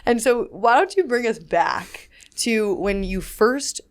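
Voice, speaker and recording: A woman hosting a casual podcast interview, bubbly and energetic, talking in quick bursts. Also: a little high. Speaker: podcast host.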